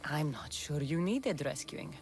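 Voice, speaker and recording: A woman's voice: low voice, animated, a little slow, a little mellow, a little hiss or hum. Confident, wry mercenary woman. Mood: wry, affectionate. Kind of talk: video game cutscene acting.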